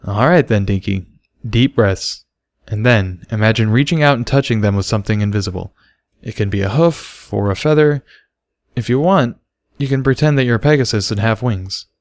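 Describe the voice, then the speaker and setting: A man voicing a patient male unicorn teacher in a storybook, speaking slowly with gentle command. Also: on the low side, no echo, close to an everyday voice. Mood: patient, encouraging. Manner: slow, gentle, instructive. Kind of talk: storybook character voice.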